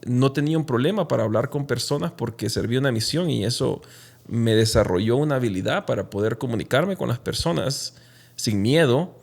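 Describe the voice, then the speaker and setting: A man from Honduras, speaking reflectively and haltingly as an interview guest telling his own story. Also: mid-range voice, creaky. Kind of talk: conversational personal storytelling in an interview.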